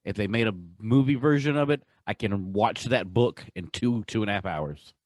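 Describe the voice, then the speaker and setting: Male podcast host, 40s, fairly low voice, halting and gushing, casual chat. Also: darkish tone, no echo.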